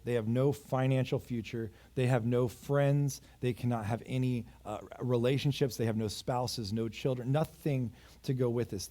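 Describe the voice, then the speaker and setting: Dark-voiced male preacher in his thirties. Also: medium pitch. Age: 30s. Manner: storytelling preacher, swings between raised urgency and quiet intimacy; self-deprecating asides. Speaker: pastor. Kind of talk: sermon. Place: church.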